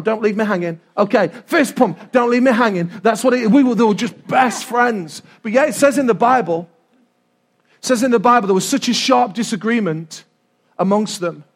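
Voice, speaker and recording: A middle-aged man with an English accent preaching an informal, humorous sermon in a loud, animated, conversational manner, repeating phrases for emphasis. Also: sits high.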